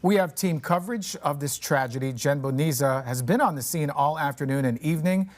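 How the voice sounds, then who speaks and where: A man's voice: fairly high pitch, brisk. A man anchoring a TV newscast from a studio with scripted delivery. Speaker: news anchor.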